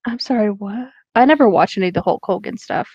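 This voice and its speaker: A woman's voice: mid-range voice, fast, a little mellow, husky, no echo. Teasing woman on a dull, dry mic. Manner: teasing, joking. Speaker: co-host reading fan fiction aloud. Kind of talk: casual comedic banter.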